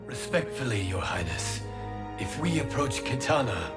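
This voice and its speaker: An actor playing a noble, calm peacemaking god in a fantasy video game, formal and earnest in his speech. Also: mid-range voice, moderate pace, over music, close to an everyday voice. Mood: respectful, urgent. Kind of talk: cinematic fantasy game acting. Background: cinematic score.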